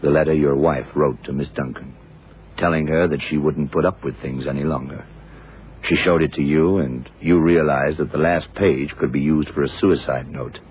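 Very deep hard-boiled radio detective. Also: male voice, fairly even intonation, moderate pace. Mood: stern, accusing. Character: shrewd, hard-boiled blind male detective confronting killers. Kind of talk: 1970s radio drama acting. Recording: muffled, noisy vintage radio recording.

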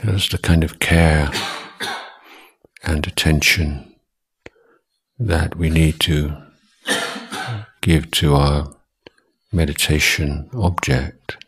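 A man teaching guided meditation as a monk, speaking in short, deliberate phrases with long pauses between them. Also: very deep voice, a bit bright, slight background noise.

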